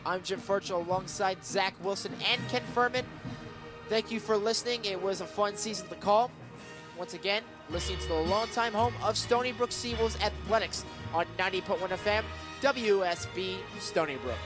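High-pitched man calling football play-by-play. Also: moderate pace, music in the background. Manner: excitable, shouts and stretches words on big plays. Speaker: radio play-by-play announcer. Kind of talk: live football play-by-play commentary. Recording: live radio sports broadcast.

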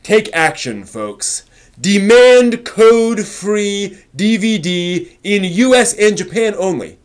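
A middle-aged man performs a dramatic reading in a notably high voice. He goes slowly, stretching and stressing words with overblown, impassioned theatricality. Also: expressive, smooth. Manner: impassioned, overwrought, emphatic delivery with drawn-out words. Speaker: host reading an old forum post aloud. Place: small home room with shelves, indoors.

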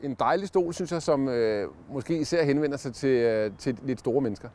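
A man's voice: mid-range voice, a little mellow. Hesitant man reviewing outdoors. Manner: hesitant, many fillers and restarts. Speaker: product tester. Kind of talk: casual product review. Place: campsite lawn outdoors. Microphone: lapel mic.

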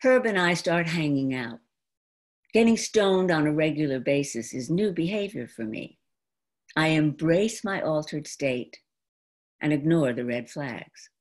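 An older woman of around seventy performing a dramatic, theatrical monologue in an expressive, deliberate manner. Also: sits low. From New York, United States. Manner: expressive, deliberate, acted-out delivery. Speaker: stage actress performing an autobiographical monologue. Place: indoor room at home, before a folding screen. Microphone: computer video call microphone.

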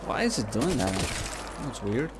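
A man's voice: mid-range voice, very animated, unhurried, heavy background noise. A man giving casual gameplay commentary, muttering to himself as he plays. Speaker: gaming video creator. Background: video game music and sounds.

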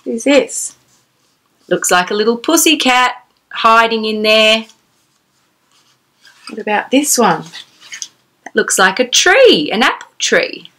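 Bright, animated woman storyteller for children. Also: on the high side, takes it slowly, long pauses. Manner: exclamatory, sing-song, asking the child questions. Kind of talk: animated read-aloud storytelling for young children. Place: small decorated room indoors.

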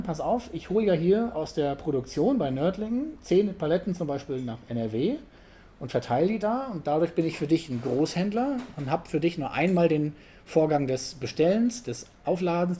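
A man's voice: a little high, brisk. Man casually explaining at a talk. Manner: conversational, frequent tag questions, animated emphasis. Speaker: speaker giving a talk. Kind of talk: informal conference talk. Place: event venue with an audience.

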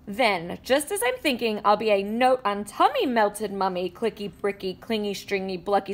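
A woman reading a children's picture book aloud with animated storytelling and playful sound effects. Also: a little high. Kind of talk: expressive read-aloud. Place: indoors at a table with a book.

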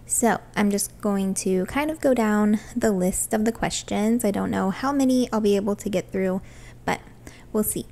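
A woman's voice: a little high, mellow. Chatty woman from Florida, cleaning. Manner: cheerful, chatty, laughs often. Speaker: vlogger. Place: home bathroom, indoors. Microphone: phone camera across the room.

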